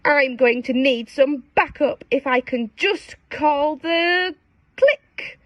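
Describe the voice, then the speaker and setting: A woman's voice: sits high, slow, no echo, highs cut off, pitched above the performer's own voice. A woman voicing a confident, unflappable male potato superhero in a children's storybook, in a heroic manner.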